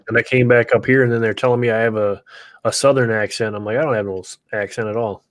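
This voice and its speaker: A relaxed man with a Wisconsin accent hosts a casual livestream chat, rambling along in an easygoing way and laughing often. His voice sits at an ordinary pitch. The sound is close and dry, recorded in a small home studio. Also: moderate pace, dry recording. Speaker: livestream host.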